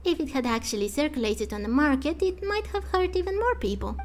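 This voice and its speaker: Woman voicing a squeaky, childish fairy companion. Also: animated, brisk, slight background noise. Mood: musing. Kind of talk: fan voice-over of video game dialogue.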